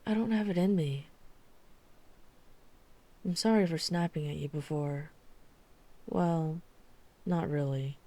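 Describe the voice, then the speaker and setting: A woman playing a guarded, weary vampire hunter who is herself a vampire, speaking in a measured, serious way in an ASMR roleplay. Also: low-pitched, warm tone. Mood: somber. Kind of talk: ASMR roleplay audio drama acting.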